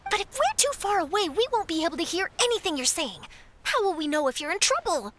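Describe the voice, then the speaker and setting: Anime game young reporter: very high, expressive intonation, upbeat, curious, wordy, confident. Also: female voice, fast, exaggerated voice. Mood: friendly, practical. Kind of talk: English anime game dub.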